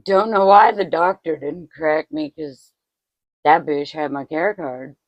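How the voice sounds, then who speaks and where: A woman's voice: low-pitched, measured pace, no echo, highs cut off. A woman in her forties chatting casually to her vlog audience at home, self-mocking and swearing freely. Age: 40s. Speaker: vlogger.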